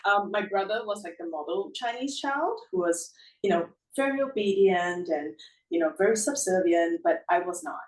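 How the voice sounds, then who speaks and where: A woman's voice: mid-range voice, normal speed, recorded from a distance. Laughing woman from Singapore, echoey remote line. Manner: laughs often, fillers like "you know". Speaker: brand founder, guest. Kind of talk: casual interview answers.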